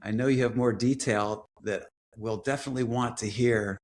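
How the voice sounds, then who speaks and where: A man moderating a conversation, speaking in an encouraging and gently inviting way. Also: mid-range voice, fairly even intonation, moderate pace. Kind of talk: casual conversation.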